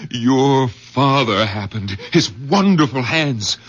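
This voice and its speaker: Overwrought doctor, husky, pitch swinging widely, fervent and insistent, 1970s radio drama, muffled recording. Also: male voice, expressive, takes it slowly. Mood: awed, urgent. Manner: fervent, urgent, repeats words. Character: overwrought doctor son-in-law, awed and insistent.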